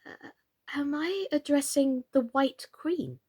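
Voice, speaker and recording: A woman's voice: high-pitched, takes it slowly, mellow, pitched above the performer's own voice. A woman voicing a polite, sensible, slightly timid young storybook girl in a civil, earnest way.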